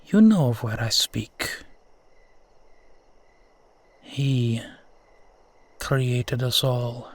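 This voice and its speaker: A man playing a proud, amused centuries-old vampire in a roleplay audio, speaking in a measured, theatrical, formal and condescending way. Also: mid-range voice, expressive. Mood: grave, proud. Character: suave 450-year-old male vampire guiding a lost stranger, proud, amused. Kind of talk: slow dramatic roleplay audio monologue.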